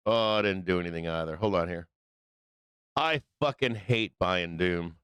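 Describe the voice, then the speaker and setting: A hoarse middle-aged man with a Midwestern American accent hosting a live trading stream, rambling casually, swearing constantly and filling pauses with ums. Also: low-pitched, a bit bright, no echo. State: hoarse, losing his voice.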